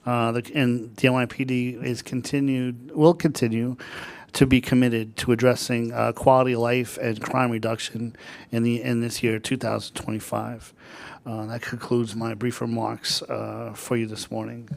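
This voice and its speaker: Halting police chief with gravelly voice. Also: male voice, mid-range voice, little pitch movement. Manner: halting, many fillers and restarts. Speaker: police chief reporting to a transit board. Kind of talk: formal report at a public meeting. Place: boardroom meeting.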